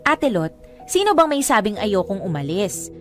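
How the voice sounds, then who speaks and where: An actress playing a proud, defiant younger sister with a Filipino accent, talking back sarcastically in a radio drama. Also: female voice, mid-range voice, sing-song, moderate pace, soft background music, close to an everyday voice. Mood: defensive, combative. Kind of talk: Tagalog radio drama acting.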